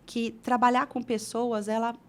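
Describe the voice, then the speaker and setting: Woman, middle-aged, from Brazil, chatty storyteller, many restarts, fairly high voice. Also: little pitch movement, normal speed, clean tone. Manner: chatty, frequent restarts and fillers. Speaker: interview guest, HR director. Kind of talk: casual storytelling interview.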